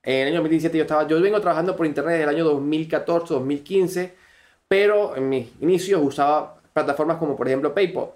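Earnest Venezuelan man, slightly high voice. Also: fast talker, darkish tone. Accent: Venezuelan Spanish. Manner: earnest, fluent storytelling with frequent restarts. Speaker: guest. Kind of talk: podcast interview.

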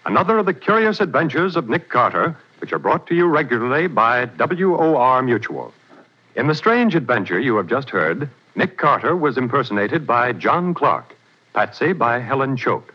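Husky 1940s radio announcer man. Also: mid-range voice, sing-song, moderate pace. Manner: formal announcing. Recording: dull 1940s radio broadcast.

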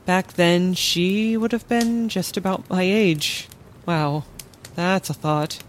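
A woman playing a courteous, bookish middle-aged scholar in a fantasy audio drama, speaking in a polite, measured way. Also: on the low side, fairly even intonation, slight background noise. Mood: wry, reflective.

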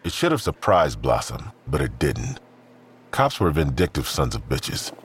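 A man's voice: a bass voice, huge pitch swings, unhurried, a little hiss or hum. A man narrating a scripted audio drama. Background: music.

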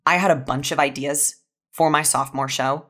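Young woman, low pitch, casual chat, podcast guest. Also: steady tone, moderate pace, a bit bright. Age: young adult. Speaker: podcast guest, audio fiction writer. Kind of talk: casual conversation.

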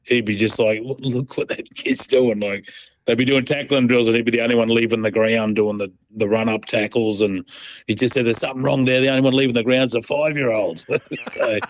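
A man's voice: medium pitch, fairly even intonation, a little fast, slight background noise. A middle-aged Australian man chatting casually as an interview guest, in a laid-back, rambling, colloquial way. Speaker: interview guest, proud sporting dad. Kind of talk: casual phone-in chat.

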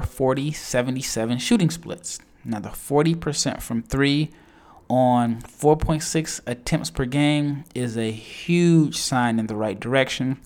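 A man talks through basketball draft picks at an even middle pitch. His delivery is chatty and enthusiastic. He often restarts his sentences as he goes. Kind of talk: solo mock draft breakdown.

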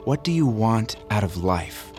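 An actress playing an experienced therapist in an audio drama, husky and composed, asking probing, direct questions. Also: female voice, extremely low pitch, very animated, unhurried, darkish tone, soft background music, exaggerated voice.

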